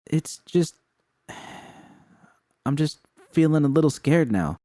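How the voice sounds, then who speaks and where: A man's voice: fairly high pitch, very animated, slow pace, warm tone, dry recording, studio quality, voice pushed up. A man voicing a nervous, hopeful young woman who speaks hesitantly and sometimes teases, in an audiobook story. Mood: scared, hesitant.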